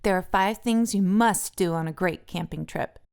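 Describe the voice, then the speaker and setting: A woman's voice: medium pitch, normal speed, creaky, clean tone, natural voice. A woman reading the part of a confident, bossy, cheerful little girl in a children's storybook, sounding instructive and sure of herself. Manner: instructive, self-assured.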